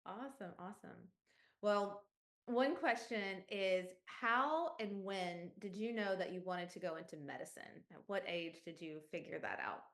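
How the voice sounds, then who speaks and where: A woman's voice: mid-range voice, a little slow, a bit bright. A woman hosting an interview series, upbeat and friendly, often saying "awesome". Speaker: interview host for an outreach series. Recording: echoey room.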